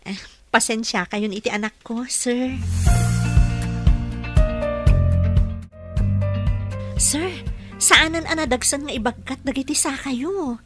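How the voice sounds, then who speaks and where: Woman playing a high-voiced, gentle Filipino mother. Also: moderate pace, faint music behind. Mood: apologetic, patient. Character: gentle, patient working mother and caregiver. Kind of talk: Filipino audio story drama.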